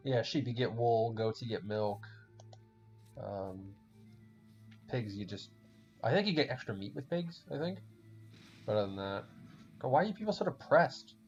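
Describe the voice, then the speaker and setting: A man's voice: mid-range voice, lively intonation, moderate pace, long pauses, darkish tone. A man with a German accent chatting casually while playing a game, rambling, swearing and laughing often. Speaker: podcast host playing a game. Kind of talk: casual chat while gaming. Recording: dull lo-fi recording with faint music.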